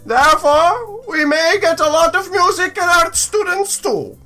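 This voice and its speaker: A man voicing a polite, businesslike young woman, a staff guide in a visual novel, speaking in a slow, instructive, drawn-out way. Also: very high-pitched, lively intonation, sharp tone, faint music behind, voice pushed up, exaggerated voice. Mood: courteous, instructive.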